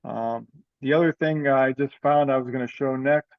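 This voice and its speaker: A man leads an online meeting in a plain, conversational voice at a middle pitch. He talks informally and haltingly, thinking aloud as he goes. The sound is a slightly dull video-call recording with a dry, close room. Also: fairly even intonation, no echo. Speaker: online meeting presenter for an amateur radio group. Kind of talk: informal meeting update, unscripted.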